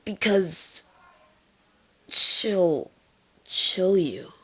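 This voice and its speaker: A cool, guarded party pony played by a woman with a husky voice. She talks slowly and tersely, in a matter-of-fact, deadpan way, as in an audio fan drama. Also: fairly low pitch, full character voice. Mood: hesitant, guarded.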